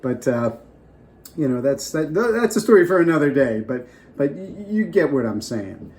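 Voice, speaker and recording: A man's voice: a little high, very animated, moderate pace, a little mellow. An older man getting over a cold, giving casual off-the-cuff advice with many restarts. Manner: rambling, restarts often, off the cuff. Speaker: vlogger. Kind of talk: casual advice talk to camera.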